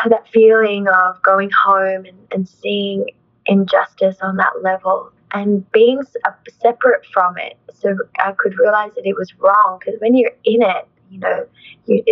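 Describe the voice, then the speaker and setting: An earnest young woman reflects aloud in an interview, her speech loose and filled with "like" and "you know". Her voice sits at an ordinary pitch. The audio is a little muffled and dull. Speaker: interview guest, actress.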